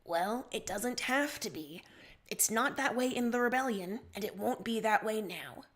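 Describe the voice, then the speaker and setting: Blunt, impatient young rebel ruler, played by a woman, higher register, storybook dialogue. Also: expressive, normal speed, lightly acted. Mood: firm. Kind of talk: character dialogue in a read-aloud story.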